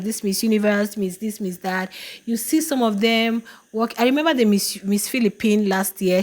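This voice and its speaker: Woman vlogger chatting to her viewers about a reality show, mid pitch, goes higher doing other people's lines. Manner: animated, quotes others in a raised voice. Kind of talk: casual reaction commentary.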